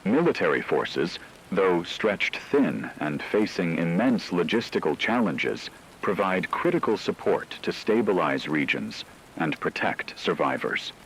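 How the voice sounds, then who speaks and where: A low-pitched, grave male news anchor voiced by a man delivers a scripted wartime radio bulletin. He speaks in measured, formal phrases, with steady noise of rain and rumble behind him. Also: full character voice, poor audio. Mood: grave, resolute. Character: solemn male radio anchor reporting a world war.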